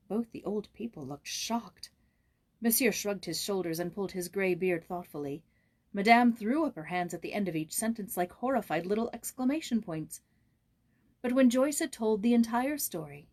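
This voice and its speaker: A woman reading a children's story aloud in expressive, scripted narration as an audiobook reader. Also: medium pitch.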